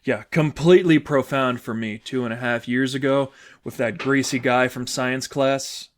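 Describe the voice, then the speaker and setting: A man's voice: medium pitch, fairly even intonation, moderate pace, lightly acted. A man voicing an upset teenage girlfriend, wounded and bitter, with emphatic, sarcastic delivery in a fan fiction audiobook.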